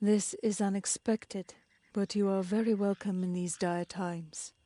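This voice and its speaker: Actress as a dignified fantasy game queen, mellow and dry, grave concern for her people, faint music underneath. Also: medium pitch, steady tone, a little slow, no echo, lightly acted. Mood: composed, concerned. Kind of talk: dramatic video game voice acting.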